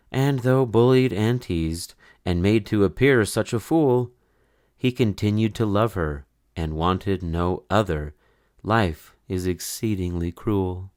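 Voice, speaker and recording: Deep-voiced man reading a rhyming storybook. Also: a little mellow, no room sound, studio quality. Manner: measured, sing-song verse delivery. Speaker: storybook reader. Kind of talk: expressive rhyming children's story read-aloud.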